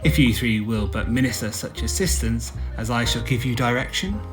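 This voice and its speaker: A man's voice: medium pitch, moderate pace, music in the background, close to an everyday voice. A man acting a playful, matchmaking prince in a Shakespearean radio play, with a theatrical, declaiming manner. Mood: scheming delight. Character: playful matchmaking prince, scheming with mischievous confidence. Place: home recording.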